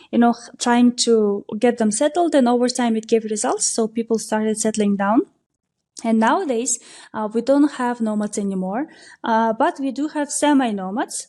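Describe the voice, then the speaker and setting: A woman from Kyrgyzstan, a local tour operator, answering interview questions in a relaxed, explanatory way with frequent fillers. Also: a little high. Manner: explanatory, many fillers, steady flow. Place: podcast recording.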